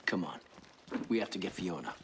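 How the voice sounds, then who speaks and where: A man's voice: low-pitched, lively intonation, fairly quick, background noise. Terse, commanding male mercenary. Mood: grim, low. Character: resolute male mercenary squad leader. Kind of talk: action video game acting.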